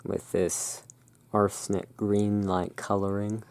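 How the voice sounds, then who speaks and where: An ASMR host in a gothic shopkeeper roleplay, describing pictures in a hushed, unhurried, musing way. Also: sits low, level tone. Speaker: host of a roleplay as a curiosity emporium owner. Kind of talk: ASMR roleplay, describing tarot card art.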